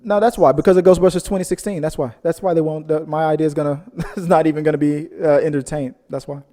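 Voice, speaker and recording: A man hosts a casual film podcast in a soft-edged, fairly high voice. He rambles and jokes as he hunts for words, and the recording carries a long hall-like reverb. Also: steady tone, fast talker. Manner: rambling, jokey, searching for words. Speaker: host of a film discussion podcast. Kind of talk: casual chat.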